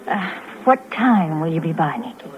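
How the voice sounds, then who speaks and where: Coy young gold-digger, muffled old radio. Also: female voice, low-pitched, very animated, moderate pace, music in the background. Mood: eager, coy. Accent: American. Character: coy, wary, gold-digging young woman on a date. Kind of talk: 1950s American radio crime drama acting.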